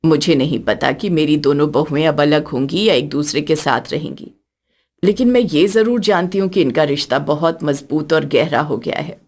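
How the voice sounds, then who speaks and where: A woman voicing an elderly, wise and affectionate village mother-in-law in a cartoon story, speaking in a measured, earnest way. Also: deep voice, a little mellow, pitched below the performer's own voice. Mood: tender.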